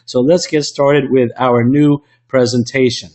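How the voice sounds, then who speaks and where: A man with a slightly rough voice from illness, presenting an online webinar into a computer mic in a salesy way with frequent restarts. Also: mid-range voice, fairly even intonation, measured pace, warm tone. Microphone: computer microphone.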